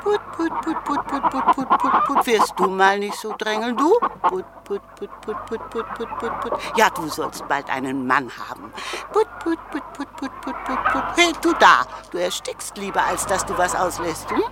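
A woman's voice: mid-range voice, very animated, normal speed, heavy background noise. An actress playing a kindly old village woman who coaxes and fondly scolds her hens in a 1960s radio play. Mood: fond, coaxing. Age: older. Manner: clucking, coaxing, fondly scolding.

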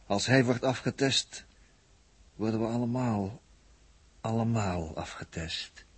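A captive spaceman with a very husky, lowish voice and a Netherlands Dutch accent. He is shrewd and sure of himself, laying things out with deliberate emphasis. The style is early sixties radio science fiction. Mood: grave. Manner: deliberate, emphatic, with repeated phrases. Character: Jaap, captive spaceman, shrewd, confident, talkative commentator. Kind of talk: early 1960s Dutch radio drama acting. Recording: vintage 1960s radio recording.

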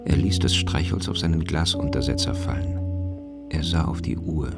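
A man acting as the detached narrator of a radio drama, reading literary prose in a measured, matter-of-fact way. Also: extremely low pitch, creaky. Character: measured, detached male storyteller. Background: plucked guitar music.

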